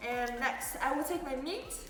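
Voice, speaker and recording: A woman's voice: high voice, moderate pace. A woman talking casually through a cooking tutorial in a kitchen, chatty and loose with lots of fillers. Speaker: cooking vlogger. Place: home kitchen. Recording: echoey room with background music.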